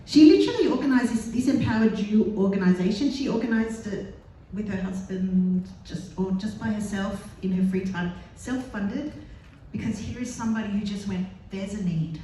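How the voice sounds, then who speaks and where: Aussie lady introducing a speaker, gushing, mellow voice, really echoey hall from far away. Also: mid-range voice, moderate pace, a little hiss or hum. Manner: effusive, praising. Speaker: panel moderator. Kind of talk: introducing a speaker at a live event. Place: large synagogue hall.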